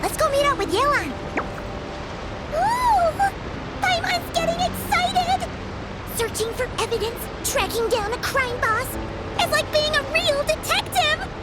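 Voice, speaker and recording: A woman voicing a small, excitable, childlike female fairy companion in a video game, squeaky, bubbly and eager. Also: a little slow, rough recording. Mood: excited. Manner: bubbly, exclamatory, refers to self by name. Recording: music behind the voice. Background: game music.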